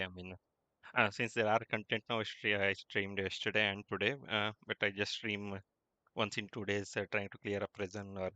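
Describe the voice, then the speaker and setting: Bright-voiced man streaming. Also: on the low side, dry recording. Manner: halting, repeats himself. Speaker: game streamer. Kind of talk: casual livestream chat and reactions. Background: game music.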